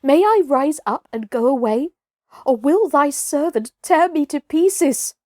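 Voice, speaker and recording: Woman putting on a husky, fawning old man. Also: high voice, expressive, measured pace, a little mellow, no room sound, clean studio sound, voice pushed up. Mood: fearful, fawning. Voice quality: husky, whispery. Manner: humble, pleading. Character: frightened, fawning old male villager.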